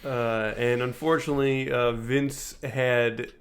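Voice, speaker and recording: A man's voice: medium pitch, fairly even intonation, slow pace, clear, resonant tone. Casual, dryly joking male co-host. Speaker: podcast co-host. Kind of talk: casual chat.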